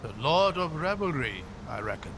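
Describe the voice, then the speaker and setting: An actor playing a courteous, wry older scholar-traveler in a cinematic video game, talkative and good-humoured. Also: male voice, fairly high pitch, moderate pace, creaky, slight background noise, exaggerated voice. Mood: wry, musing. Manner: courteous, wry, expressive storytelling delivery. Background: background music.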